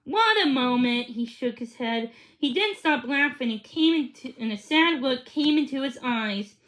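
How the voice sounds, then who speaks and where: A woman reading a children's storybook aloud in a halting way, stumbling and re-reading words. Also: high-pitched, fairly bright tone, rough recording. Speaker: reader of a children's book.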